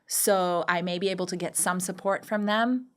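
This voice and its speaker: A woman's voice: lowish voice, steady tone, moderate pace, fairly bright tone, smooth. A woman from Pakistan speaking as a podcast guest, telling her personal story in a reflective, emphatic and articulate way. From Karachi, Pakistan. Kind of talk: candid interview, personal storytelling.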